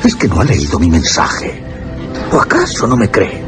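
Desperate gentleman, low voice, anguished radio acting, loud music behind. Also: wildly swooping pitch. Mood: horrified disbelief. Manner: anguished, halting, theatrical. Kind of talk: Spanish radio drama acting.

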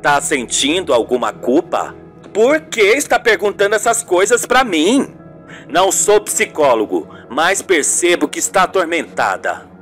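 Young journalist son, played by a man, defensive, exasperated, animated intonation, radio soap. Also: fairly high pitch, measured pace, faint music behind. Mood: accusing, firm. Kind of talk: amateur radio soap acting.

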